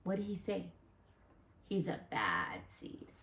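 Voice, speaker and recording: A woman teacher leading an interactive read-aloud for children, expressive and full of guiding questions. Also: on the low side, slow, echoey room. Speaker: elementary school teacher.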